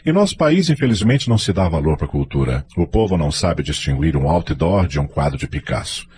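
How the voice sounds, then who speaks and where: A Brazilian man playing a self-assured middle-aged politician father in a radio drama, expressive and declamatory. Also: very deep voice, a little fast, slight background noise. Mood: persuasive, authoritative.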